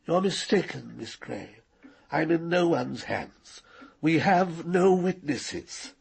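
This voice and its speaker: An actor playing an arrogant, cold-blooded older knight in a radio drama, precise and condescending. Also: male voice, on the high side, lively intonation, slow, creaky, breathy edge, heavily acted. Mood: contemptuous. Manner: condescending, precise, coolly menacing.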